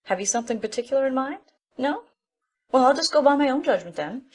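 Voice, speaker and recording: A woman's voice: high-pitched, animated, quick pace. A woman playing an opinionated, bossy middle-aged village busybody in an audiobook drama, chatty and self-assured. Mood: brisk, obliging.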